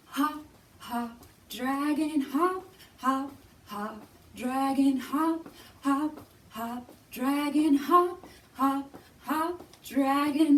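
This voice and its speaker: A woman with a high voice hosts a storytime for small children. She takes her time, speaking expressively in simple phrases as she reads and leads action rhymes. She is recorded some way from the microphone. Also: a little hiss or hum. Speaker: children's librarian and storytime host. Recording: distant microphone.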